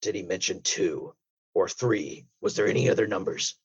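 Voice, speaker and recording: Man doing a husky, stern whale interrogator. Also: normal speed, lo-fi sound. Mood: interrogating. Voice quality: husky, low. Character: gruff whale interrogator hunting crab drug smugglers. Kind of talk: improvised audio drama.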